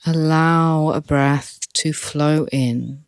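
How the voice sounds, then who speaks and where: A meditation guide speaking calmly and softly, leading a relaxation session with long pauses. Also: medium pitch, smooth, no room sound. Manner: calm, soft, with long pauses.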